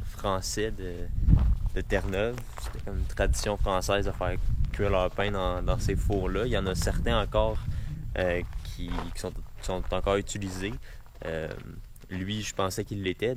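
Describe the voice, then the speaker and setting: A man's voice: sits low, darkish tone. A man with a Quebec French accent narrating an informal travel vlog in a colloquial, unscripted, hesitant way, on noisy amateur audio. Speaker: travel vlogger.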